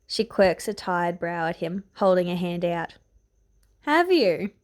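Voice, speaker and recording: A woman's voice: on the low side, level tone, normal speed, darkish tone, clear, resonant tone. A woman narrator reading a scripted piece of fiction aloud. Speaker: podfic narrator.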